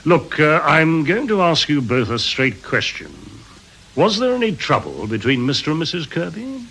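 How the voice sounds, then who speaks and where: An actor playing a brisk, authoritative police inspector who asks probing, clipped questions in a radio crime drama. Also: male voice, a little high, sing-song, fairly bright tone, slight background noise, poor audio. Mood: pressing, blunt.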